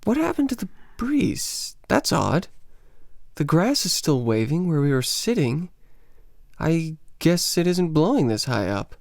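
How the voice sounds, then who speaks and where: Adventurous American holidaymaker, radio drama, animated pitch swings, cocksure banter, close dry sound. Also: male voice, a little slow, gravelly, no room sound, heavily acted. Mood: curious. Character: adventurous, teasing American man, a teacher on holiday. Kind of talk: radio play dialogue.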